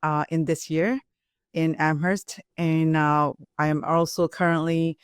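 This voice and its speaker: A woman with a Burmese accent tells her personal story as an entrepreneur being interviewed. Her voice is clear and smooth, her phrasing simple and halting, and the sound is dry and close. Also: low voice, little pitch movement, dry recording. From Myanmar (Burma). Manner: non-native English with simple, halting phrasing. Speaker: restaurant owner and entrepreneur.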